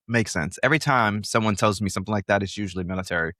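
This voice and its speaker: A man's voice: fairly low pitch, very fast, sharp tone, no echo, clean studio sound. A man hosting a podcast, interviewing in a casual, informal way and reacting with enthusiasm. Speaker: podcast host.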